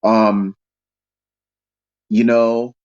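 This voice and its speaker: A man's voice: on the low side, level tone, drawn-out words, a little mellow, clear, resonant tone, dull audio. A man from Haiti, speaking as a panel guest and sharing strong opinions in a long-winded way, often checking in with "okay?". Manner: long-winded, frequent "okay?" tag questions. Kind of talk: opinionated call-in talk.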